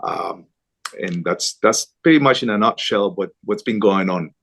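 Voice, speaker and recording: Low-voiced Colombian-born man, earnest. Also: a bit bright. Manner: earnest, halting with restarts and fillers. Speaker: interview guest, Spanish-language news anchor. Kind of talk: conversational interview.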